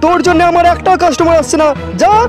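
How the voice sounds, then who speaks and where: Squeaky shifted cartoon village vendor, loud, quick-tempered, music behind. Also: male voice, fairly even intonation. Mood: angry. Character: quick-tempered village man selling ghugni street snacks. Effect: pitch-shifted cartoon voice. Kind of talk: comedy cartoon dialogue.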